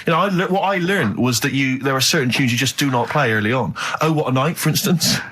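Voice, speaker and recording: An English man with a husky, mid-pitched voice co-hosts a radio show. His banter is casual and wordy, delivered with dry mock seriousness. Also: fast, fairly bright tone. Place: radio studio.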